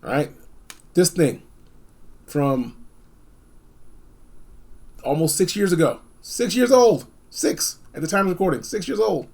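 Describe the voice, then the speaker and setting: A man hosting a casual, unscripted gadget review, talking in an animated, emphatic way and repeating phrases for effect. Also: a little high, unhurried, vocal fry, pauses often. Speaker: product reviewer hosting a video.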